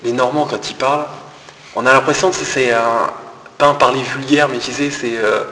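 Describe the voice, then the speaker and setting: A man's voice: medium pitch, fairly even intonation, normal speed. A man in a casual chat, rambling and insistent as he talks. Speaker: discussion participant. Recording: distant echoey room, audible noise.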